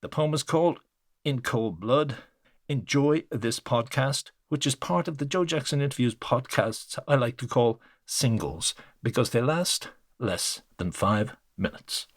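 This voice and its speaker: A man's voice: medium pitch, lively intonation, a little slow, no room sound. Man, dry close podcast narration. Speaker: podcast host, interviewer.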